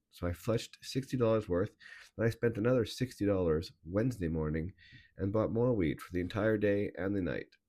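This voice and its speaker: A man talking in a halting, casual confessional monologue, his voice raspy and nasal from a hangover, with many fillers and restarts. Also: deep voice, mellow. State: hoarse, nasal from a weed hangover. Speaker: vlogger.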